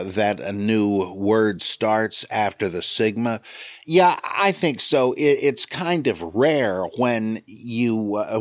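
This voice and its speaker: An older man hosting a podcast, reading listener questions and answering them in a musing, halting way, full of "uh"s and self-corrections. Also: on the low side, no echo. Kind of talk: casual spoken Q&A on biblical scholarship. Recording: dull, lo-fi dry recording.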